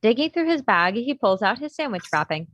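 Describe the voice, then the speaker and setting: A woman's voice: on the high side, very animated, brisk, a bit bright, no echo, dull audio. A woman narrator reading screenplay stage directions in scripted narration.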